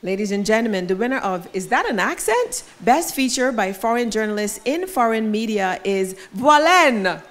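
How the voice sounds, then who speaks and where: A woman's voice: fairly high pitch, sing-song, normal speed, hardly any pauses. A woman hosting an awards ceremony, announcing categories and nominees in a polished emcee manner with light self-deprecating asides. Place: event venue.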